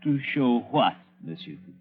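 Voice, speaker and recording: A man's voice: mid-range voice, lively intonation, moderate pace, close to an everyday voice. Fawning French-accented hotel clerk, male actor, old radio play, formal and hesitant, lo-fi sound. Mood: guarded. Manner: deferential, effusive, hesitant formal phrasing. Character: fussy, obsequious French-speaking Tahitian hotel clerk. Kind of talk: 1940s radio acting. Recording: dull vintage radio drama recording.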